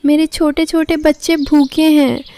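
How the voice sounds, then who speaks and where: A woman voicing a humble, devoted young mother bird in an animated story, polite and earnest. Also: sits high, a little slow, dry recording. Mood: pleading. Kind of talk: animated moral story dialogue.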